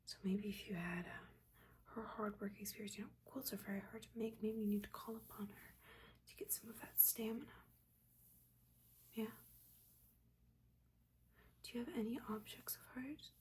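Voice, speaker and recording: A woman voicing a caring, judging witch mentor in a soft, breathy whisper, gently and haltingly giving guidance in an ASMR roleplay. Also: medium pitch, steady tone, slight background noise, natural voice. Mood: encouraging. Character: older witch mentor, motherly, judging yet caring teacher.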